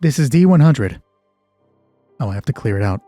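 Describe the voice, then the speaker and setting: A man with a soft, dark and husky voice hosts a solo tabletop game. He thinks aloud conversationally between narration, close on a dry mic with faint music behind him. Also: mid-range voice, animated, normal speed, dry recording. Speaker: solo game master, channel host. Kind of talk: solo tabletop roleplay narration with casual asides.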